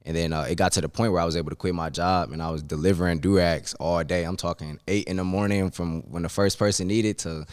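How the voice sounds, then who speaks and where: A man's voice: sits low, steady tone, quick pace, hardly any pauses, clean tone, dry recording. A man in his twenties from Cleveland, Ohio, talking casually as a podcast guest in a laid-back, slangy way with frequent ums. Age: 20s. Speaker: podcast guest, business owner. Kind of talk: casual interview chat.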